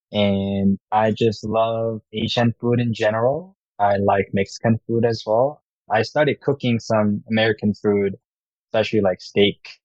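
A man's voice: fairly low pitch, a little mellow, dry recording. A young man from Korea, a startup founder, answering interview questions in an earnest, upbeat way. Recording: dull, muffled sound.

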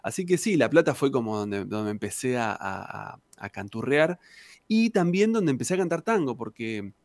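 A man's voice: medium pitch, very animated, moderate pace, darkish tone, no room sound. A man in his thirties from southern Argentina with an Argentine accent, a tango singer chatting loosely in an interview, full of fillers and tag questions. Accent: Argentine Spanish. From Argentina, Santa Cruz province. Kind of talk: casual interview storytelling.

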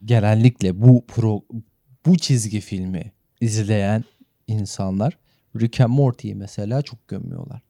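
A man's voice: fairly low pitch, unhurried, gravelly, warm tone, dry recording, clean studio sound. A man, co-hosting a podcast in a casual, conversational way. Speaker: podcast co-host. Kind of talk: casual chat.

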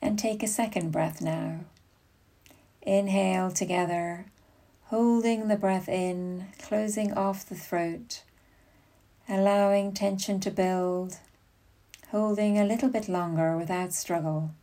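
A woman leading a guided meditation as a yoga nidra guide, giving soothing, unhurried instructions with long pauses. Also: medium pitch, steady tone, mellow.

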